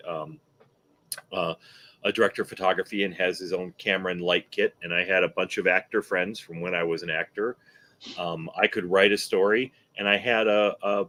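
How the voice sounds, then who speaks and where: A man's voice: low voice, sharp tone. A middle-aged man talking casually as a guest filmmaker on a panel.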